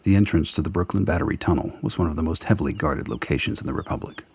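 Male drama narrator, extremely deep, husky, gravelly, measured, dramatic scripted storytelling. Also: lively intonation, darkish tone. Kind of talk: scripted radio play narration.

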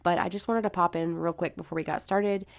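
A woman's voice: on the low side, steady tone, a little fast, creaky. A congested woman in her thirties, a podcast host giving listeners a chatty note.

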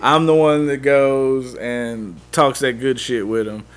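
A man's voice: medium pitch, steady tone, slow pace, clean tone. A man co-hosting a podcast in casual chat, laid-back and laughing often. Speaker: podcast co-host.

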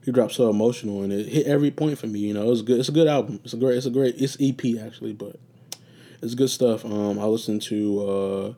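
A man's voice: medium pitch, steady tone, quick pace, mellow. Sleepy, rambling man with a darker voice. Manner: loose, profane, meandering with many fillers. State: getting raspy and sleepy. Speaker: podcast host. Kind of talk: rambling solo casual talk.

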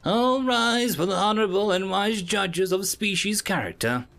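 A man's voice: a little high, lively intonation, moderate pace, creaky, a bit bright, faint music behind, pitched above the performer's own voice, full character voice. A man voicing a formal alien courtroom announcer in a science fiction story. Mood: official.

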